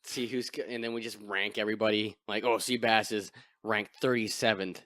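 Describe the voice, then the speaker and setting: Man, deep voice, podcast host, crude joking banter, dry close mic. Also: fairly even intonation, a little fast, a bit bright, no room sound. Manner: joking, crude, self-deprecating. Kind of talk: casual chat over beers.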